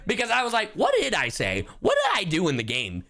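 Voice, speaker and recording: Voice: medium pitch, huge pitch swings, brisk, a bit bright. A streamer giving casual live commentary in an exasperated, slangy, profanity-heavy way. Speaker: streamer reacting to game voice lines.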